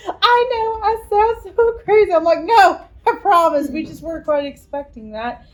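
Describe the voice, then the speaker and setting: A woman's voice: very high voice, moderate pace. An American woman, a 911 dispatcher, casually sharing stories with her coworkers. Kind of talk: casual storytelling among colleagues. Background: background music.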